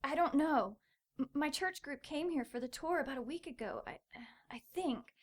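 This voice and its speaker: A woman's voice: high voice, animated, moderate pace. An actress playing a scared young captive woman in an audio drama, hesitant and pleading for rescue. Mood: frightened, pleading.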